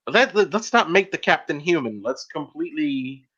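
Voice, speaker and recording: A man hosting a casual podcast interview, rambling and halting as he works toward his questions. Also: on the high side, animated, fairly bright tone, slightly rough, muffled recording. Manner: halting, rambling, filler-heavy.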